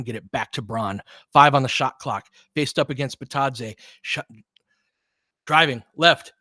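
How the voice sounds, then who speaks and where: A man doing live basketball play-by-play as an excitable, partisan fan, chatting casually with his viewers. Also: medium pitch, no echo, clean studio sound. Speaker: fan play-by-play commentator, streamer.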